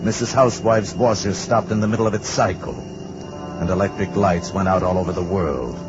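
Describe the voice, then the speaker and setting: Deep, solemn radio narrator, a man. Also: fairly quick, music in the background. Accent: American. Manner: solemn, portentous. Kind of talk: dramatic scripted narration, old-time radio. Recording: muffled vintage radio broadcast.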